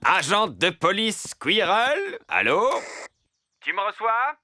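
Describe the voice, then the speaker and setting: An actor playing an earnest, officious panda police officer in a children's cartoon, formal and instructive. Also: male voice, high voice, huge pitch swings, takes it slowly, heavily acted. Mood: earnest, official. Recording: music behind the voice.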